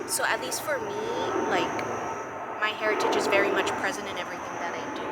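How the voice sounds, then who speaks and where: A woman's voice: medium pitch, heavy background noise. A Colombian-born woman living in Miami, hosting a podcast and speaking reflectively, with hesitant restarts. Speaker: podcast host. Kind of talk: casual interview conversation.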